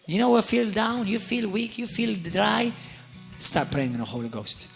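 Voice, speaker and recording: Male pastor, animated sermon storyteller, high bright voice, soft music behind. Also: normal speed, gravelly. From Malta. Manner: animated storyteller, repeats and restarts words, addresses the congregation directly.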